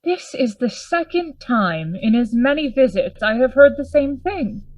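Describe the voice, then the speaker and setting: A woman playing a formal, worried gentleman lawyer and loyal friend in a gothic radio drama. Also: high voice, lively intonation, a little slow, dry recording, rough recording. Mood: troubled, reflective. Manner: formal, earnest, theatrical. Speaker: actor in a radio play.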